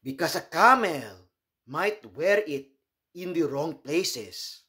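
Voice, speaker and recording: Guy reading a kids book out loud, really slow, voice goes up and down a lot. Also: on the high side, animated. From Philippines. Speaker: host reading children's books aloud. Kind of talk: read-aloud storytelling for children.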